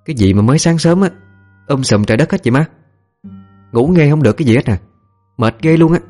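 A spoiled, spiteful young woman, performed by a man for an audio story. She sounds whiny and snappish in a Southern Vietnamese accent, pitched well above the reader's own voice, over faint music. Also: animated, fast talker, dark tone. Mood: annoyed.

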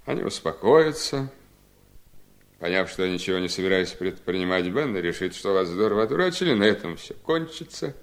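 An actor playing a gravely ill, embittered and stubborn husband in a 1970s radio drama, with a theatrical delivery. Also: male voice, low voice, lively intonation, a little fast. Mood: quietly triumphant.

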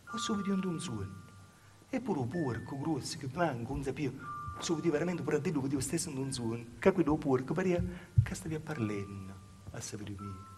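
A man's voice: fairly high pitch, a little mellow, lightly acted. An actor playing a simple, devout, grieving Calabrian peasant mother, telling a rambling, repetitive story in a theatrical dialect monologue.

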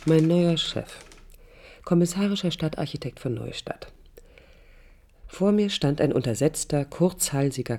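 Expressive young idealistic woman architect. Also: low voice, heavily acted. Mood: critical, wary. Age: young adult. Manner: reflective, literary, emphatic short phrases. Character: idealistic, restless young woman architect starting a new job. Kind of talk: 1980s German radio drama, introspective first-person narration.

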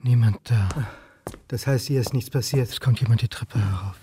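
Male lead, acted, radio crime drama: medium-pitched anxious historian, dogged, thinking aloud, audible background noise. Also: moderate pace, lightly acted. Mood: tense, brooding. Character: anxious, dogged male historian playing amateur detective, narrating. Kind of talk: radio drama dialogue and inner monologue.

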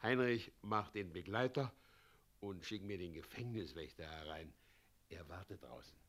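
Swaggering, sharp-voiced tyrant mayor. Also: male voice, deep voice, moderate pace. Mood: grumbling, impatient. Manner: imperious, mocking, gloating cackles. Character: pompous, gleefully cruel tyrant mayor posing as dragon slayer. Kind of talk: German radio play acting.

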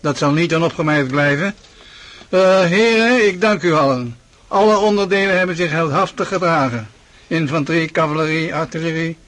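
A commanding army chief played by a man with a fairly high voice. He speaks formally and with authority, in the manner of a radio war drama. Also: moderate pace, fairly bright tone, close to an everyday voice. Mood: measured approval.

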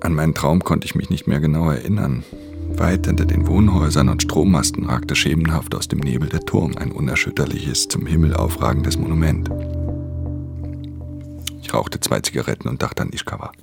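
An actor playing a brooding, solitary pickpocket who narrates his crimes in a controlled, introspective, hushed way in a radio drama. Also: extremely low pitch, fairly quick, creaky. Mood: uneasy, melancholic. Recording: studio radio drama with prominent music.

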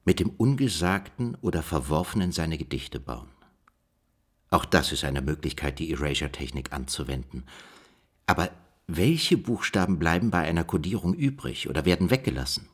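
Male code-breaker, radio play, low husky voice, inquisitive, sceptical, thinking aloud. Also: animated, normal speed, full character voice. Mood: sceptical, reasoning. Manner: questioning, reasoning aloud. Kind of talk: German radio play dialogue.

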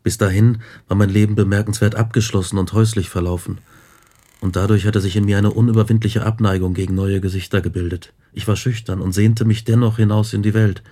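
An earnest, ambitious young man voiced by an actor in a radio play, with a fairly low voice. His phrasing is clear and literary, over faint background ambience. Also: steady tone, brisk, dark tone, slight background noise, close to an everyday voice. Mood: reflective. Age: young adult. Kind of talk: radio drama acting, with first-person narration.

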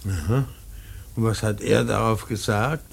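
An actor playing a grieving, weary old father in a German radio play, speaking in a halting, deliberate and gently stern way. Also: fairly low pitch, vocal fry, a little hiss or hum. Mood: grave, resigned.

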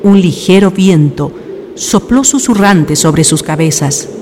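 A woman's voice: fairly low pitch, animated, slow, noisy background. A woman giving scripted narration for a radio drama, in a storyteller's role.

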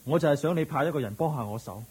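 A man's voice: fairly high pitch, animated, rapid-fire, darkish tone, slightly rough. An actor with a Hong Kong Cantonese accent playing a hesitant, penniless, lovestruck young man in a radio drama. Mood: earnest. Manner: hesitant, deferential, earnest.

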